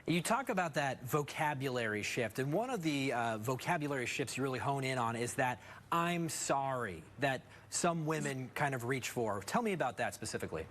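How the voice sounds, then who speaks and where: A man's voice: medium pitch, lively intonation, a bit bright. Polished male TV anchor. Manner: polished, measured anchor delivery. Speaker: TV interviewer. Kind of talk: scripted TV news intro and interview questions.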